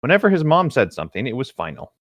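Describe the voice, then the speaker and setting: A man's voice: mid-range voice, wildly swooping pitch, fast, a bit bright, dry recording, studio quality. A young man reading a story aloud and chatting casually as a co-host. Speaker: co-host of a comedic creepypasta reading.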